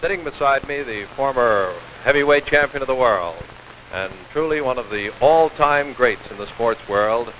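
A middle-aged American man conducting a 1950s television sports interview, halting and deliberate, on a handheld mic. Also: medium pitch, lively intonation, a bit bright. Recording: dull, hissy old 16mm film sound.

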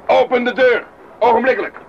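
An actor playing a stern, curt army officer in a radio drama, with a clipped, barking official manner. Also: male voice, very high voice, a little fast, creaky, slight background noise. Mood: curt, commanding. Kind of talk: 1980s radio play acting. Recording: dull radio recording with background ambience.

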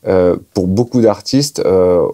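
A man in his thirties hosting a casual music interview in a small studio, asking questions playfully. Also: low-pitched. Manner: lively, playful, quick questions. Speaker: host.

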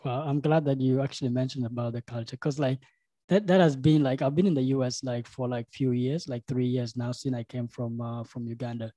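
A young man hosting a podcast interview, halting and full of fillers like "you know.". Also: mid-range voice, steady tone, mellow, no room sound. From South Sudan. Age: young adult. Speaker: podcast host. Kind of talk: casual interview. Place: home studio room. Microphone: studio microphone with headphones.

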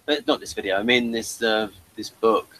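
A man's voice: on the low side, moderate pace, sharp tone. A man hosting a casual review chat, talking in a hesitant, rambling way. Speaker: review show host.

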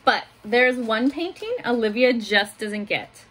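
A woman in her thirties reading a children's picture book aloud in simple, deliberate phrases, adding little asides for young listeners. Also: a little high, animated, fairly bright tone. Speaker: school staff member reading aloud to pupils. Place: small home office room, indoors. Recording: noticeable room noise behind voice.

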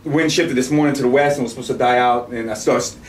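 A middle-aged man hosting a podcast, telling a casual story in an animated, excitable way, swearing freely and restarting sentences. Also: mid-range voice, racing. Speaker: podcast host. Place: indoor studio with camo backdrop, at a table. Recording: roomy and distant with faint noise.

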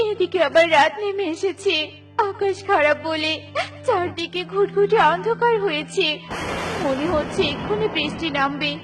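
A woman's voice: very high-pitched, normal speed, faint music behind. A woman voicing a small, earnest mother bird with a plaintive manner in a children's cartoon. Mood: distressed, weary.

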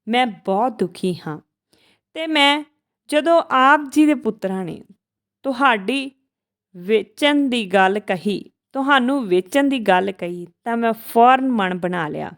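A woman voicing a kind, remorseful middle-aged man in a story, speaking earnestly and respectfully in halting phrases. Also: on the high side.

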